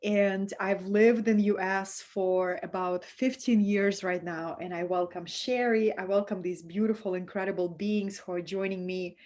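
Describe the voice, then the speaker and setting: A woman from Russia with a Russian accent, hosting a live-streamed spiritual talk and speaking softly and affectionately to her viewers. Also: mid-range voice, steady tone, normal speed, hardly any pauses. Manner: soft, affectionate, reads out viewer comments.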